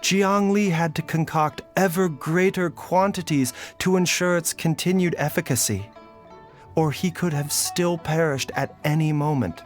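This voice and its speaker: A man voicing a calm, learned physician in a video game, speaking softly, precisely and formally. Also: fairly high pitch, measured pace, soft background music, lightly acted. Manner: soft-spoken, precise, formal. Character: calm, learned male physician, gentle and composed. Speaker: doctor.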